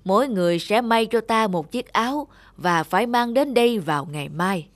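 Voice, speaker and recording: A woman's voice: mid-range voice, animated, normal speed, no room sound, natural voice. A woman voicing a stately old king in a storybook cartoon, formal and commanding.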